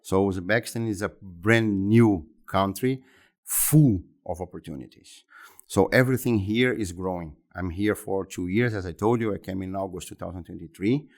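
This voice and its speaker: A man in his fifties with a Brazilian Portuguese accent, answering interview questions in English that is not his first language. His voice sits fairly low, and he talks haltingly, repeating words and restarting phrases as he goes. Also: darkish tone. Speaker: interview guest, exhibition center executive.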